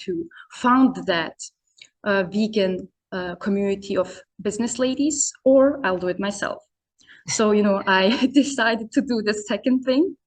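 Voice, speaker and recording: A woman originally from Serbia talks through her story in relaxed, conversational English. She hesitates often, leaning on "you know" and restarting sentences as she goes. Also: mid-range voice, rough recording. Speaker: podcast guest, community founder. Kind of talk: casual interview answers.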